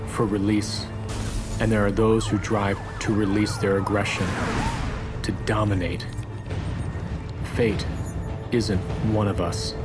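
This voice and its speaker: Slow, low-voiced, brooding outlaw racer. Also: male voice. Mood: grim. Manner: solemn, deliberate, dramatic. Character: brooding male outlaw street racer, defiant and vengeful. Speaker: video game narrator. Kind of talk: scripted cinematic monologue.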